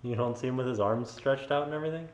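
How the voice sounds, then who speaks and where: A man's voice: mid-range voice, fast, roomy sound, slight background noise. A man in his thirties joking around casually with friends while filming at home. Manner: playful, jokey. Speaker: vlog host. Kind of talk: casual chat. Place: home living room, indoors. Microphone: handheld camera.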